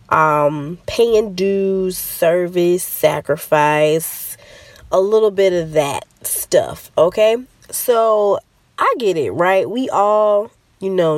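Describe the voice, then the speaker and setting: A woman's voice: fairly low pitch, no room sound. A woman with a stuffy nose from a cold, hosting a solo motivational podcast in a chatty, direct way that talks straight to her listeners. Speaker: podcast host.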